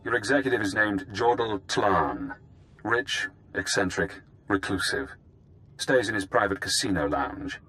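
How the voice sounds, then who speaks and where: An actor playing a cold, brilliant intelligence informant in a video game, speaking in deliberate, clipped, menacingly calm phrases. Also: male voice, lowish voice, exaggerated voice, rough recording. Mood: calculating.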